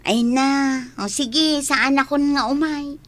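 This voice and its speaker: A woman's voice: high-pitched, fairly even intonation, slow. A woman acting a playful, teasing young best friend in an audio drama, with an Ilocano accent.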